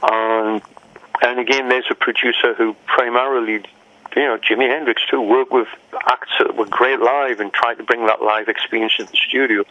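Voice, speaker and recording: A man's voice: mid-range voice, normal speed. An older man telling stories in a casual interview, often mimicking other people and laughing. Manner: lively storyteller who mimics others' voices and laughs often. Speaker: rock musician interviewee. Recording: dull lo-fi audio.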